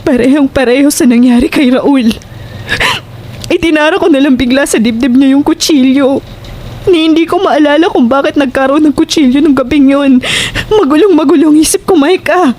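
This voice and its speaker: A woman's voice: high voice, normal speed, darkish tone, lightly acted. A woman playing a frightened, guilt-ridden young nightclub worker in a Tagalog horror audio drama, distraught and pleading. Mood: shaken, pleading. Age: young adult. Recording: noticeable background noise.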